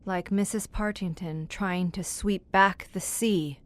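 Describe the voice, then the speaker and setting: A female actor plays an earnest woman drawing out a dark tale in an audio horror drama. Her voice sits at an average pitch. She sounds questioning, absorbed and a little appalled. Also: fairly even intonation, slow, close to an everyday voice. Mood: curious. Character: earnest, inquisitive female listener, appalled yet fascinated.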